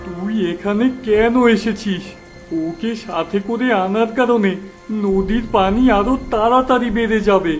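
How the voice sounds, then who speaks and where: A man's voice: sits high, unhurried, soft background music. A man playing a stern, commanding figure in a cartoon fairy tale, speaking emphatically. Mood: scolding. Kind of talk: animated fairy tale character acting.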